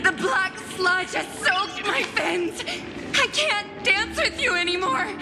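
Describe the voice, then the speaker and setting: Grieving siren from a fantasy game, high creaky voice, plaintive n poetic, dying. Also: female voice, slow pace. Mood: anguished, crying out. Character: anguished, dying female sea siren, dreamy and mournful. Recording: long reverberant hall, music behind.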